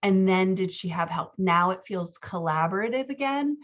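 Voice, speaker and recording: A woman's voice: mid-range voice, lively intonation, moderate pace, dull audio. A woman giving a conversational manuscript critique as a writing coach, explaining and thinking aloud. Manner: explanatory, thinking aloud, often checking with "right?". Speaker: writing coach and podcast host.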